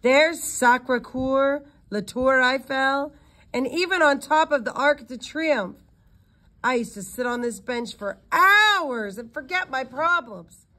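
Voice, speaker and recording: A woman's voice: high voice, lively intonation, takes it slowly, bright, forceful tone, pitched above the performer's own voice, heavily acted. A woman voicing a streetwise boy spy from a storybook, playful and a little boastful about his city. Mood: proud, eager.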